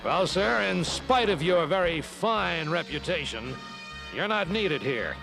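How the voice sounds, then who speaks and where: An actor in an animated series playing a stubborn, haughty cavalry captain with a pompous, deliberate, emphatic manner. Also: male voice, fairly high pitch, vocal fry. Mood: condescending. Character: stubborn, haughty male cavalry captain, strict about rules. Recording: music behind the voice.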